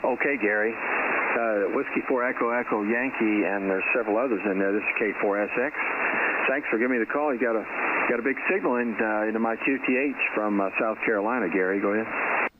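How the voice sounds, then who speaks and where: A man's voice: fairly low pitch, fairly quick. An older man chatting casually as an amateur radio operator, heard through a radio transmission. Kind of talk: casual ham radio conversation. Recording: very noisy, narrow-band shortwave radio reception.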